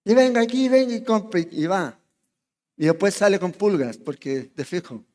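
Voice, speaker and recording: A man's voice: high voice, expressive, moderate pace, a little mellow, long reverb tail. A man with a Chilean accent preaching an expository sermon, chatty, often checking in with his congregation. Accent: Chilean Spanish. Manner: conversational, checks in with the congregation, asks questions. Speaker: pastor. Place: church sanctuary.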